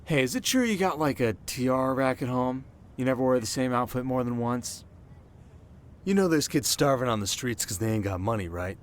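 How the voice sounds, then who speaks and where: A man playing a cocky but soft-hearted teenage delinquent boy in an ASMR roleplay, talking straight to the listener in casual slang. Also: medium pitch, lively intonation, normal speed, a little hiss or hum, natural voice. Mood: cocky teasing. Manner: slangy, casual, stumbling when flustered.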